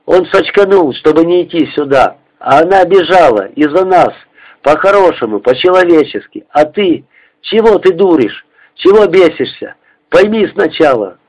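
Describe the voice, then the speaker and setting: A man's voice: a little high, expressive, normal speed, a little mellow, pitched above the performer's own voice, poor audio. A man voicing an angry young soldier who sternly scolds a comrade, barking commands and insults in a war story. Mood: indignant scolding.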